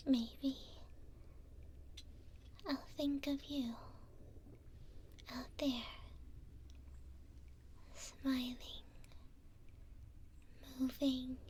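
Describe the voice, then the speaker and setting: A woman voicing a kind, gentle imprisoned elf in a soothing ASMR roleplay, whispering breathily and tenderly close to a binaural microphone. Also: high voice, steady tone, slight background noise. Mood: gentle, reassuring. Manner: slow, soothing, tender, with long pauses.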